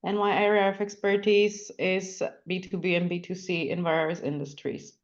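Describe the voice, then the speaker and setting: A woman's voice: on the low side, flat delivery, normal speed. A woman from the Czech Republic, a consultant, introducing herself on a webinar. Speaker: guest consultant. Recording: dull lo-fi call audio.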